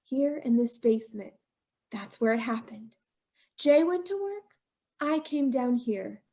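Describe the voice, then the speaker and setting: A woman's voice: fairly high pitch, expressive, measured pace, dark tone. A woman playing a scheming, guilt-ridden young wife who confesses to murder in feverish, insistent old-time radio style. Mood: cold recollection. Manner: feverish, melodramatic, insistent repetition.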